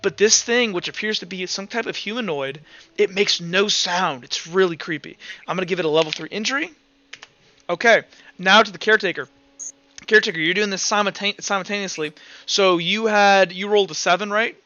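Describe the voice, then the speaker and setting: High-voiced, bright man running a tabletop game. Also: fairly quick. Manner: informal, vivid gory description, checks rules aloud. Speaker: game master. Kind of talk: running an online tabletop roleplay session, casual narration. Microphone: online voice call. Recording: dull online voice-chat audio with music behind. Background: music.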